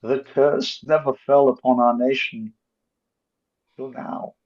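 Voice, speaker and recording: A man playing a bitter, vengeful merchant and wronged father in a theatrical Shakespeare reading, declaimed deliberately with emphatic pauses. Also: mid-range voice, steady tone. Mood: anguished lament. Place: online video call reading. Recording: muffled video call audio.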